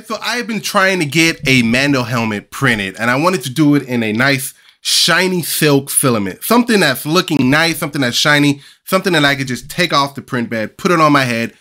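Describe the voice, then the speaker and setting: A man in his thirties with a nasal voice from a cold, talking animatedly to camera in a casual home-studio vlog, exasperated at times. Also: medium pitch. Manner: animated, big hand gestures, exasperated asides. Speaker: 3D printing channel host. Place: small home studio room with collectibles, indoors. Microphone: over-ear headset, webcam framing.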